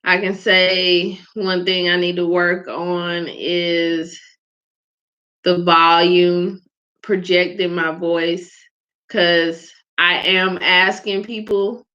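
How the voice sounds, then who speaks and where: Soft-spoken woman with a bright lo-fi voice. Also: fairly low pitch, little pitch movement, extremely slow. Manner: soft-spoken, chatty, laughs often. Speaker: host of a live faith vlog. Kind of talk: casual spoken reflection. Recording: dull lo-fi sound.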